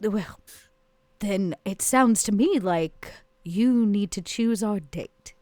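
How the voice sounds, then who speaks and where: A woman's voice: mid-range voice, slow pace, a little mellow, no echo, lightly acted. A woman playing a coy, flirtatious female vampire who teases, in a tabletop game. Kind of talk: in-character tabletop roleplay.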